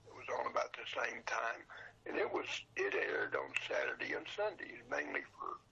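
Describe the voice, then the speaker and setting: An older man with a raspy, gravelly voice talking casually and plainly as an interview guest over a dull phone line. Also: medium pitch, huge pitch swings, moderate pace, sharp tone, slight background noise. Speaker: podcast guest, old-time radio collector.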